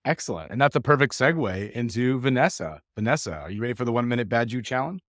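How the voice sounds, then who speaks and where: A man's voice: medium pitch, expressive, fast talker, a bit bright, dry recording. Podcast host guy, upbeat and encouraging, medium voice, dry close mic. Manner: enthusiastic, encouraging. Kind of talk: casual podcast interview.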